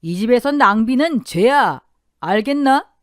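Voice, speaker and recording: A woman's voice: fairly high pitch, lively intonation, unhurried, no room sound, studio quality, voice pushed up. A woman voicing a stingy, strict older father-in-law who barks scolding commands in a radio-style story. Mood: stern.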